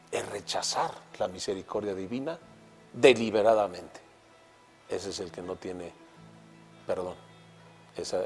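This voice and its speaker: A man hosting a religious talk program, speaking in a calm, reflective way. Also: fairly low pitch. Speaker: TV program host.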